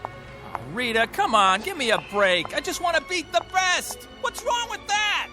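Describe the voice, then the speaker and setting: An actor playing a cocky, obsessed young pool shark in a radio drama, with a brash, insistent, slangy way of talking. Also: male voice, extremely high pitch, expressive, unhurried, over music. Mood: pleading.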